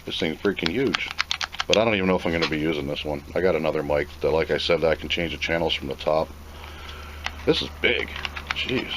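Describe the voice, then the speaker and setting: A man's voice: a bass voice, brisk, background noise. A plainspoken man giving an off-the-cuff, casual commentary as he reviews and unboxes a product.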